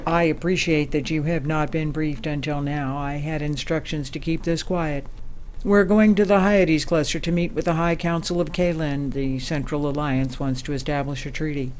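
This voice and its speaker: A woman playing a formal, measured ambassador briefing her crew in an audio drama. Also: deep voice, steady tone, darkish tone, slight background noise, natural voice, rough recording. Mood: formal, assured. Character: composed female ambassador leading a secret space mission.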